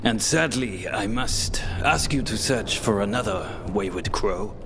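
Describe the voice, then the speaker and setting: An actor playing a grave, ailing, composed guildmaster of assassins in a video game, with a measured, formal delivery. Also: male voice, lowish voice, lively intonation, music in the background. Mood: somber.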